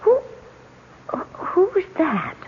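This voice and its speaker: An actress playing an excitable, uneasy young American bride in a 1970s radio drama, breathless and hesitant. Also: female voice, very high voice, very slow, full character voice. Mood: curious, uneasy. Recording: tinny, hissy old radio broadcast.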